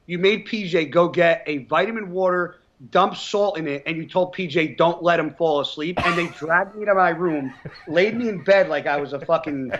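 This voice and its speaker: A man's voice: sits high, lo-fi sound. A man in his thirties from New Jersey, a professional bodybuilder chatting bluntly in an interview, swearing freely and telling stories with energy. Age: 30s. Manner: blunt, swears often, animated storytelling. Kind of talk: casual interview chat.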